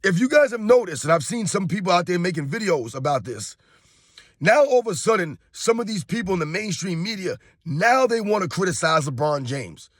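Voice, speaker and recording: A hoarse man in his thirties rants about sports straight to his viewers, emphatic and repetitive. His voice sits fairly high for a man, and the recording is close and dry with no room sound. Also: sing-song, fairly quick, dry recording. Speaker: sports commentary YouTuber. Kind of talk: casual opinion rant to camera.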